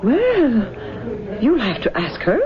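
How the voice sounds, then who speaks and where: A woman's voice: fairly high pitch, huge pitch swings, slow pace, crowd noise, heavily acted. An actress playing a composed, sly middle-aged mother of the bride, precise and theatrical, in a radio drama. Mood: composed, reassuring. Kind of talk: old-time radio drama acting. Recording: dull 1970s radio broadcast, music underneath.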